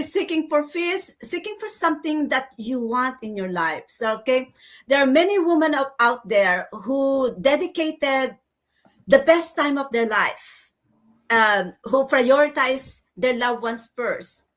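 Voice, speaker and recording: A woman's voice: high voice, fairly bright tone. A woman hosting a casual livestream chat on a video call, laughing often and restarting her sentences. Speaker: livestream host.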